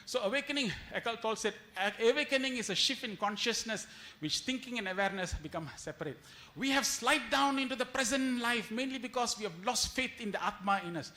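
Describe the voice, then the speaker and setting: A man speaks in a notably high, bright and forceful voice. He delivers a spiritual lecture like a storyteller, slipping into quoted lines and rhetorical questions. Key phrases come back again for emphasis. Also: brisk. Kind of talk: devotional lecture to an audience.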